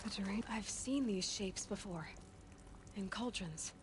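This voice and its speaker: A woman's voice: medium pitch, slow pace, noisy background, natural voice. An actress playing a determined young woman hunter in a video game, speaking directly and asking pointed questions. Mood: curious. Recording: game audio over music.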